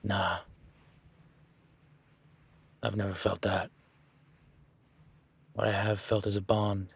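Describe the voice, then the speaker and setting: A man's voice: lowish voice, little pitch movement, slow pace, gravelly, husky, heavily acted. A man playing a rugged, easygoing cowboy rancher who teasingly sizes up a new ranch hand, speaking straight to the listener in a laid-back way. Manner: teasing, laid-back, talks softly to his horse. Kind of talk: first-person audio roleplay aimed at the listener.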